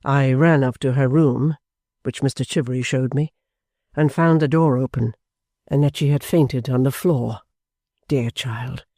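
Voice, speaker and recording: A man doing a grave, kind gentleman. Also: medium pitch, fairly even intonation, unhurried, no room sound, clean studio sound, lightly acted. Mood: pitying. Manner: pitying, earnest.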